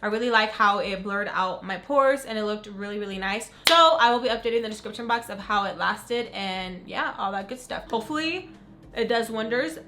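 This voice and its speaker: A young woman who is sick and raspy, chatting excitedly in a casual beauty unboxing. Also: on the high side. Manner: gushing, upbeat, says "freaking" a lot. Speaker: beauty vlogger. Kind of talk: casual unboxing chat to camera.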